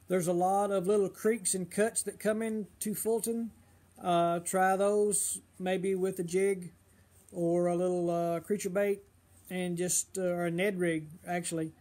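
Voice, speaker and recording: A middle-aged man, slightly hoarse, chatting casually on a fishing livestream and giving advice with lots of uh and um. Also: high voice, a little mellow. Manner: rambling, full of uh and um fillers.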